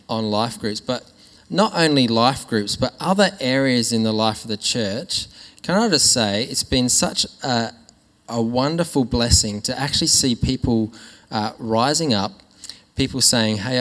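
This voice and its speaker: A man preaching to his congregation in a relaxed, conversational sermon, often restarting his phrases. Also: mid-range voice. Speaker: pastor. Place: church hall. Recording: long hall reverb.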